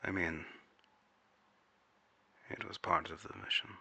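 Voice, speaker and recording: Man acting as gravelly, low-voiced secret agent interrogator. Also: steady tone, takes it slowly, sharp tone, exaggerated voice. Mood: measured. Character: serious male secret agency interrogator, controlled, concerned. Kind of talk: audio drama interview and report acting.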